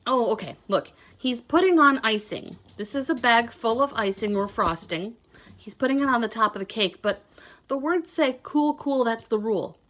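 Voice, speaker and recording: A woman reading a children's picture book aloud in a playful, expressive, sing-song way, adding simple asides to her young listeners. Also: fairly high pitch, normal speed. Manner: simple child-directed explaining. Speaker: children's read-aloud host, teacher.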